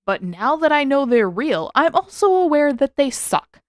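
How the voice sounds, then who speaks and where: A woman's voice: high-pitched, sing-song, normal speed, no room sound, studio quality, voice pushed up. A woman voicing a brash, defensive, sarcastic teenage boy in a fan fiction audiobook, with a blunt, casual, emphatic delivery. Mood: indignant, defensive.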